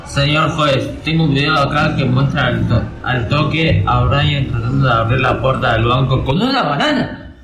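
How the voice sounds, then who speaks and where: A man's voice: medium pitch, lively intonation, moderate pace, vocal fry. A teenage boy with an Argentine accent playing a scornful prosecutor in an amateur radio comedy. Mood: accusing. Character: scornful prosecutor presenting evidence to a judge. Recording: echoey room, loud music behind.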